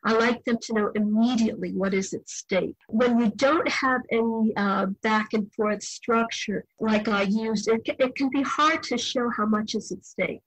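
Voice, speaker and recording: Thoughtful older woman, husky and dry. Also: mid-range voice, no room sound, poor audio. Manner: thoughtful, measured, occasional restarts. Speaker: novelist guest. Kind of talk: reflective interview answers.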